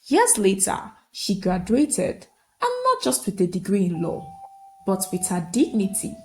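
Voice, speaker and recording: Woman storyteller with very lively pitch. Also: unhurried. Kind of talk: scripted folktale narration.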